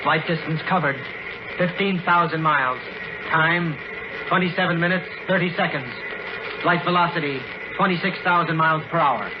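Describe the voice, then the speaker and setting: A man's voice: a little high, moderate pace, lightly acted. Male instrument officer, played by an actor, clipped and urgent, vintage space radio drama, muffled sound under heavy noise. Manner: clipped, staccato, mechanical readout. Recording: muffled, very noisy 1940s radio recording.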